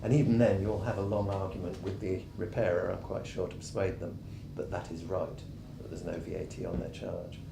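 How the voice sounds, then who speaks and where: A man giving a conference presentation on tax, his voice going hoarse as he explains points steadily with frequent ums and clears his throat. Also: little pitch movement, fairly quick, few pauses. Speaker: tax adviser presenting a session. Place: conference room. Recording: echoey room with rumbling noise.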